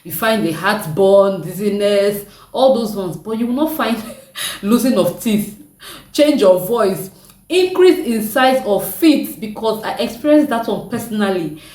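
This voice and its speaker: Woman vlogging, deepish voice, kinda far off in an echoey room. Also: lively intonation, unhurried, darkish tone. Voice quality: deep voice. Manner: chatty, laughs often, asks viewers to comment. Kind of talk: casual chat to camera. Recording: echoey room, mic set back.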